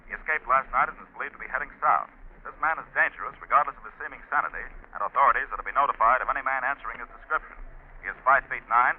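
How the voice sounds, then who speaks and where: An actor playing a formal, urgent radio news announcer in a clipped 1940s bulletin style. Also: male voice, medium pitch, very fast, lightly acted. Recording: tinny, noisy 1940s radio recording.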